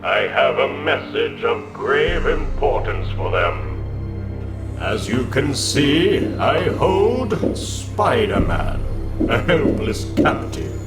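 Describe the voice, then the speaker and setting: A man's voice: mid-range voice, big pitch swings, extremely slow. A man playing Doctor Doom, an arrogant, menacing, scheming supervillain with a grandiose, theatrical delivery in a comic book dramatization. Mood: menacing, imperious. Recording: echoing villain voice under loud music.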